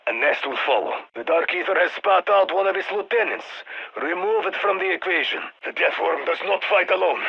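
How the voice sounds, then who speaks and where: A battle-hardened Russian commander issues clipped, formal mission orders over a muffled radio. His voice is fairly high for a man, with a heavy gravelly rasp and a Russian accent. He sounds stern and commanding, like a military handler in a video game. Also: full character voice. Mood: grave, instructive.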